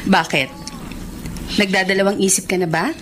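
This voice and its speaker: A woman's voice: mid-range voice, fairly even intonation, normal speed, natural voice. An actress playing a frank, slightly hurt young woman in a radio drama, with a pointed manner. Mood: reproachful. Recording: faintly noisy radio drama recording.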